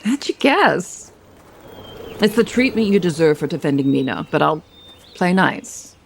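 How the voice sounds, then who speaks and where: An actress playing a haughty, sharp-tongued woman in an audio soap opera, dry, deliberate and barbed. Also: sits low, sing-song, gravelly, a little hiss or hum, exaggerated voice. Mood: sardonic.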